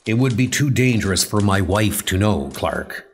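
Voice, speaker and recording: A man voicing a weary, conspiratorial middle-aged newspaperman, guarded and urgent in a hushed manner. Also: on the low side, lively intonation, normal speed, a little hiss or hum, voice pushed down. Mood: secretive, earnest.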